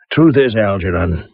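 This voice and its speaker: Flustered publisher: raspy, swooping delivery, apologetic stammer, businesslike but evasive, vintage radio drama. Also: male voice, lowish voice, dry recording, exaggerated voice. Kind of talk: old-time radio mystery acting. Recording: dry, muffled old radio broadcast.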